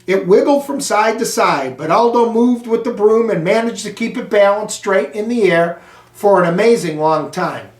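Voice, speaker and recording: A man reads a children's storybook aloud in an expressive, theatrical way, with dramatic emphasis on action words. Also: high-pitched, normal speed. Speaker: school superintendent reading aloud to pupils. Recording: roomy echo, distant microphone.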